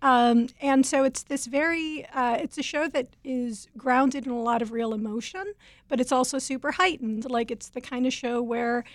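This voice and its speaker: A woman TV critic on a radio panel, enthusiastic and packed with fillers. Her voice runs fairly high. The sound is dry and close. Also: steady tone, normal speed, dry recording. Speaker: TV editor, panel guest. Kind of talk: casual panel chat.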